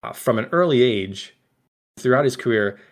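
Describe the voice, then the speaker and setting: A man's voice: mid-range voice, expressive, moderate pace. Chatty man co-hosting a film podcast. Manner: chatty, often seeks agreement with tag questions. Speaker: podcast co-host and author. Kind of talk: casual film discussion.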